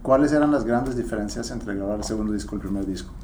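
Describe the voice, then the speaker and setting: A man's voice: lowish voice, fast, a little mellow, roomy sound, slight background noise. A man interviewing a guest, asking curious, probing questions. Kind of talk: casual interview.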